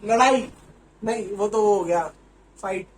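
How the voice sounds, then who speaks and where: A man's voice: high voice, measured pace. A man joking and teasing his family in casual banter. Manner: teasing, jokey. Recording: noisy, distant phone recording.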